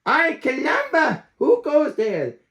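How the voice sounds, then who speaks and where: Boastful kitten sword hero played by a man: very high, animated, excitable, mock-Spanish accent, children's storybook. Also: slow pace, heavily acted. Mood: bold. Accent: put-on Spanish. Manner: excitable, exclamatory. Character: small frisky boastful Siamese kitten playing a Chihuahua sword hero.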